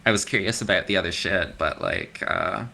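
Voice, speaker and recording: Deep gravelly man, bright edge. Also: wildly swooping pitch, fairly quick. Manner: loose and chatty, swears casually, laughs often. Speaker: guest, extreme metal vocalist. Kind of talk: casual interview chat.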